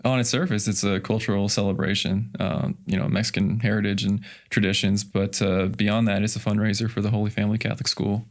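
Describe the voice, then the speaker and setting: Low, even-toned American man. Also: brisk, hardly any pauses. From Kansas, United States. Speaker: guest, festival committee chairman. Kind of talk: casual interview chat.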